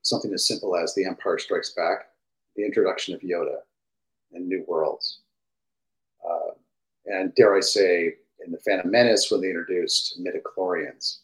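A middle-aged man with a fairly deep voice, chatting enthusiastically as a podcast guest through a gaming headset, with fillers and restarts. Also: fairly even intonation, normal speed, rough recording. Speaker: guest, game industry executive. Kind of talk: casual podcast chat.

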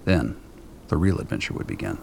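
A man narrating a scripted radio drama in a measured, dry, literary storytelling manner. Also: extremely low pitch, sing-song, vocal fry, a little mellow, slight background noise.

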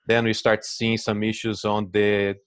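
A man's voice: lowish voice, completely flat delivery, measured pace, dry recording. A man in his forties from Brazil, speaking with a Brazilian Portuguese accent as a guest expert in a casual interview, explaining things with many fillers and restarts.

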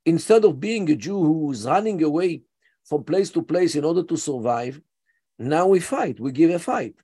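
A man's voice: a little high, animated, normal speed, mellow, slightly rough. A man in his seventies with an Israeli accent, speaking as a guest scholar in an interview, hesitant with many fillers but emphatic. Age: 70s. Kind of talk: interview conversation.

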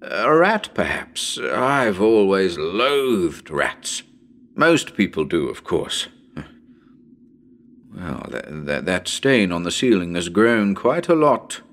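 A man's voice: deep voice, very animated, slow pace, fairly bright tone, exaggerated voice. A man playing a nervous radio broadcaster on a ghost hunt in a dramatic reading, talking to listeners in a chatty, hesitant, uneasy way. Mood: uneasy, curious. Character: nervous male radio broadcaster ghost hunting in haunted house.

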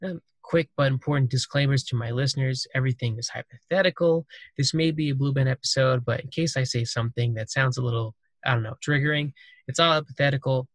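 A man's voice: mid-range voice, fast, no echo. Dry, close-miked man hosting casually. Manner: loose, rambling, many fillers. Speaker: podcast host. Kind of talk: casual conversational podcast.

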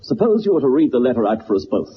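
A man acts as an urbane, wry English detective in a vintage radio drama. His pitch swings expressively with arch, courteous theatricality. The old 1960s recording is muffled, with clear background noise. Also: very animated, very fast, lightly acted. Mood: amused, teasing.

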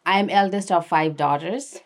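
Low-voiced young Nepali woman. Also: measured pace. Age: young adult. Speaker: interview guest, activist and filmmaker. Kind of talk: conversational personal storytelling.

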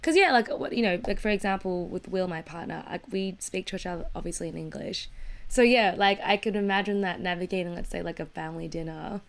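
A woman in casual conversation as a podcast co-host, animated and chatty, often saying "like". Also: lowish voice, a little fast, vocal fry.

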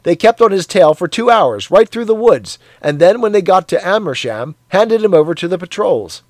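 A man voicing a hearty, sweaty, zealous middle-aged man who talks in a loud, cheery, blustering way. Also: high-pitched, sing-song, normal speed, no echo, pitched above the performer's own voice. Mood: boastful.

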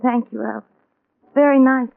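Seeking a worried American wife, high plaintive voice, insistent, old-time radio drama through narrow bandwidth. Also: female voice, fairly even intonation, normal speed, vocal fry, a little hiss or hum. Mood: fretful, plaintive. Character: anxious, fretful wife searching for her missing mother. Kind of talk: 1940s radio drama acting. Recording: tinny narrow-band old radio recording.

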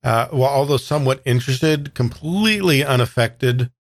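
A man's voice: mid-range voice, moderate pace, no echo, clean studio sound. A man playing a tabletop game, drolly describing what his character does. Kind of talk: improvised actual-play talk.

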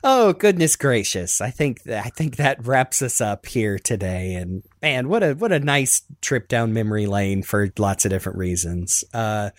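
A man's voice: on the low side, lively intonation, normal speed, hardly any pauses, dry recording, clean studio sound. Rambling man hosting a podcast. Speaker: podcast host. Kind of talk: casual podcast chat.

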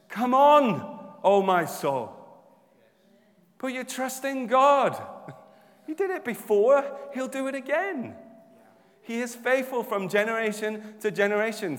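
A man preaching a sermon, turning from quiet reflection to loud, humorous exhortation. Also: high-pitched. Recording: long hall reverb.

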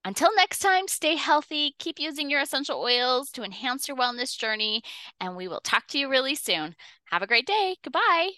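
A woman's voice: high-pitched, very animated, moderate pace, bright, forceful tone, no echo. A woman co-hosting a podcast, chatting in a bubbly, giggly way and closing the show. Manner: giggly, bubbly, lots of um fillers. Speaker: podcast co-host. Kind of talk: casual podcast chat.

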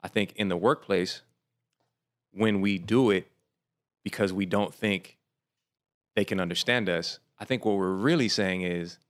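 A man in a casual discussion, thinking aloud in a reflective, measured way as he makes his points. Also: deep voice.

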